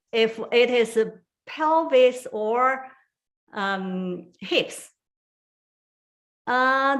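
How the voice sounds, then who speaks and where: Slow-speaking woman. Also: medium pitch, a bit bright. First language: Chinese. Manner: slow, careful, with many fillers and pauses. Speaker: guest tai chi trainer. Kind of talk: online forum talk.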